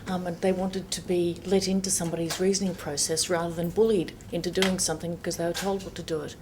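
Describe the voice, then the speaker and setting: An older woman, a judge, speaking softly and reflectively in a panel discussion. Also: fairly low pitch, level tone, fast talker, a little hiss or hum. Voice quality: soft-voiced.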